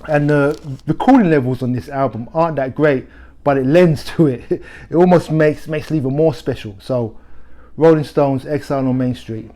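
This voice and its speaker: A man's voice: fairly high pitch, normal speed, mellow. A middle-aged man hosting a record shop's video series, talking casually about favourite albums with frequent restarts and fillers. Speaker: record shop host. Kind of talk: casual music recommendations to camera.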